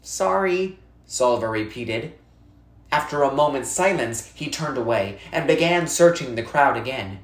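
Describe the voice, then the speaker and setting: A narrator reading a children's novel aloud expressively and deliberately, with dramatic pauses for emphasis. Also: mid-range voice. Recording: roomy, echoey sound.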